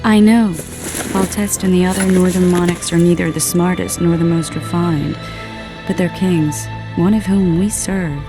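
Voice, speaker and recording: An actress playing a composed, learned sorceress in a video game, speaking in a measured, articulate, gently argumentative way. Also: female voice, lowish voice, steady tone, music in the background, natural voice. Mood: composed, reasoning. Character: composed, learned adult sorceress, loyal and reasoning. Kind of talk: video game cutscene acting.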